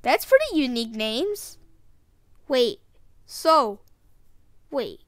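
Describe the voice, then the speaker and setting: A woman plays an excitable, wide-eyed kid from an adventure game. The voice is extremely high and bright, swinging up and down in animated exclamations. It is easily panicked and keeps a slow, drawn-out pace. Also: dry recording, studio quality, heavily acted. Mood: puzzled, curious. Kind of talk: video game voice lines.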